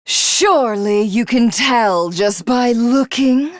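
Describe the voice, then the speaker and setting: A woman playing a megalomaniac cannibal alien villainess in a video game, haughty, menacing and theatrical, with a breathy rasp. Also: fairly high pitch, slow pace, dry recording, clean studio sound. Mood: haughty curiosity.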